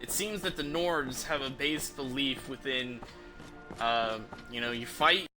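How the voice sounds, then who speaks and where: A man giving casual, halting video game commentary as he thinks aloud. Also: medium pitch, sharp tone, soft background music, rough recording.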